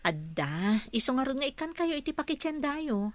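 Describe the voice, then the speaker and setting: Dutiful young wife, radio drama, female actor: highish pitch, Ilocano accent, attentive and kind. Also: big pitch swings, normal speed, dry recording. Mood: respectful, kindly. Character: caring, dutiful young wife who works at a parlor.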